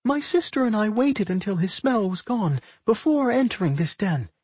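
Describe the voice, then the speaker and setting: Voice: mid-range voice, expressive, normal speed, a little mellow, breathy edge, dry recording, pitched above the performer's own voice. A narrator voicing a young talking pup in a fantasy audiobook, curious, guarded and wary. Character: wary young twin pups speaking human speech.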